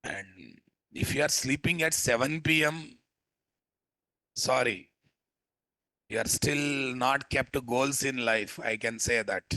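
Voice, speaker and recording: Rough-voiced male instructor. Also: mid-range voice, slow pace, pauses often, pushed and bright, no echo, poor audio. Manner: scolding, teasing, keeps asking class questions. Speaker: programming instructor. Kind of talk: live coding class lecture.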